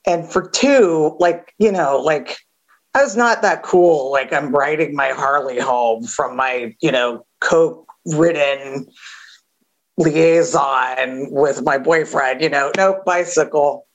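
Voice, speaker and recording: A woman with a gravelly voice giving a candid recovery share, halting with many fillers and a wry edge. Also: deep voice, low-quality recording. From Southern California. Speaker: AA speaker.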